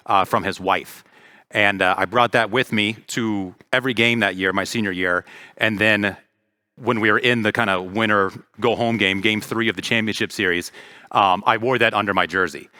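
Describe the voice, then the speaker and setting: A man's voice: on the low side, pushed and bright, clean studio sound. A man in his thirties from Florida, giving a casual, friendly talk to parents as league president, with lots of ums and uhs, on a handheld mic in a church hall. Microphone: handheld microphone.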